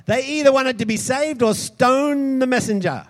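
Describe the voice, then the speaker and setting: A man preaching a sermon, impassioned, swinging between loud shouts and soft, quick asides. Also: extremely high pitch. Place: large hall converted into a church.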